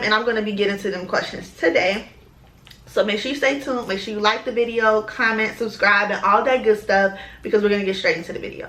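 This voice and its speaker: Casting note: woman, bright voice in an echoey room, loose and chatty. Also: mid-range voice. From Chicago, US. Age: 20s. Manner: chatty, rambling with many ums and slang. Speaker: lifestyle vlogger. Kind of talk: casual chat to camera.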